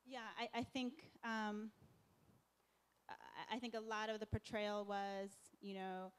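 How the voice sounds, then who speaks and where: Woman, young adult, panel speaker, laughs often, filler-heavy, mid-pitched. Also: steady tone, slow pace, smooth. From Japan and New Jersey. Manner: laughs often while recounting, many fillers. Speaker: panelist filmmaker. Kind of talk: panel discussion answers.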